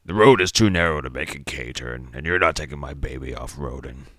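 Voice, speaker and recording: A man voicing a bitter, world-weary ex-convict in a dramatised story, with a gruff, brooding manner and a gravelly voice. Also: rumbling low, fairly quick, bright, forceful tone, dry recording, clean studio sound, exaggerated voice. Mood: exasperated.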